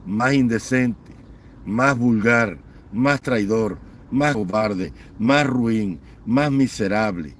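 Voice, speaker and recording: A man's voice: lowish voice, slow, a little hiss or hum. Fiery man ranting. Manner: fiery, repetitive listing, mocking. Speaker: political commentator. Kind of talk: impassioned political rant.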